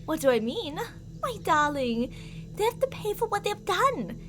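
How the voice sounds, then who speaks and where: A woman voicing an obsessive, possessive young woman who holds her beloved captive, cooing sweetly with menace and snapping into shouts. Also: very high voice, sing-song, a little slow, soft background music, heavily acted. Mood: menacing sweet. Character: obsessive possessive female yandere captor. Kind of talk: audio roleplay drama.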